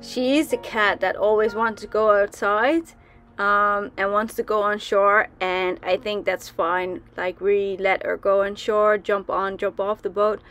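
A woman's voice: mid-range voice, fairly even intonation, a little slow, a bit bright, faint music behind. A young woman from the Netherlands giving casual voice-over narration for a sailing vlog, chatty, with frequent ums and restarts. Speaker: sailing vlogger.

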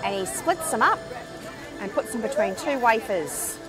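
A woman's voice: on the high side, expressive, moderate pace, music in the background, low-quality recording. A woman vlogging a city walk with her husband, chatting in a playful, easygoing way. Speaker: travel vlogger. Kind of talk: casual walking-tour chat. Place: outdoors on a city street.

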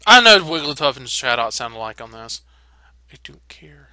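A man's voice: mid-range voice, big pitch swings, normal speed, sharp tone. A man casually commentating on a game as he plays, wry and given to mild swearing. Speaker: let's player. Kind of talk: casual game commentary, reading text aloud.

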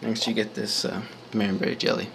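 Young man, low gravelly voice, loose rambling home-kitchen host, noisy camera audio. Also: fairly even intonation, fairly quick. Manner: rambling and offhand, with many fillers. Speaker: home cooking show host. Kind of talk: casual home cooking demo. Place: small home kitchen at night. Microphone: handheld camera mic, close.

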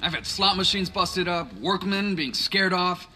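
A man's voice: high voice, unhurried, sharp tone, a little hiss or hum, full character voice. A man playing an irascible, shrewd crime boss in a video game cutscene, articulate and commanding. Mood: weary, exasperated.

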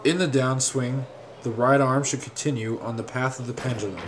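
A man reading a scripted instructional narration in a measured, formal, step-by-step way. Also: mid-range voice, steady tone, over loud music.

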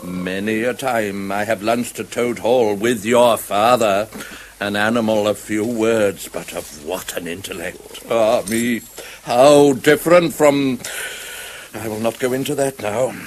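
A man plays a solemn older English badger in a radio play. He speaks slowly at a middling pitch, ponderous and disapproving, like an elder delivering a verdict. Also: a little hiss or hum, lightly acted. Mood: grave, reproachful. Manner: ponderous, sententious, sighing. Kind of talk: 1970s radio drama acting.

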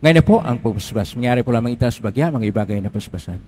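A man's voice: mid-range voice, lively intonation, fast talker, darkish tone, slight background noise. A man, a priest leading Mass prayers and giving thanks and a blessing in a solemn, intoning manner.

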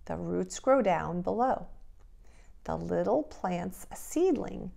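Woman, middle-aged, preschool teacher, storybook style, very unhurried, gravelly fry in the voice. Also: lowish voice. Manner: expressive, deliberate, addressing young children. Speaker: preschool teacher reading aloud to children. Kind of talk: children's storybook read-aloud and simple hands-on instruction.

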